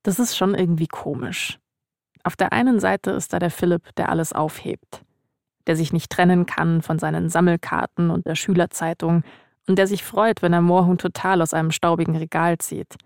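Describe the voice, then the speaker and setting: A woman's voice: lowish voice, fairly even intonation, normal speed, creaky, a little mellow, dry recording, clean studio sound. A woman, speaking as a podcast host in narration and casual chat.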